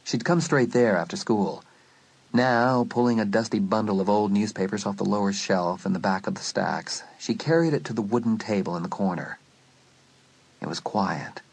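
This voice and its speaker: A man narrating a suspense story in a dramatic, scripted style, letting phrases drop to a hush. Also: on the low side, moderate pace, gravelly, slightly rough, dry recording, low-quality recording. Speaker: audiobook narrator.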